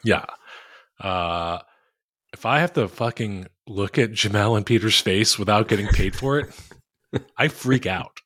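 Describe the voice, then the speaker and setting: A man with a flat, oafish monotone chats as a podcast co-host, rambling and poking fun at himself. His voice is captured close and dry over a call mic, with no room sound around it. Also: fairly low pitch, unhurried, pauses often, no room sound. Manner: rambling, self-mocking, crude jokes. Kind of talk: casual podcast chat.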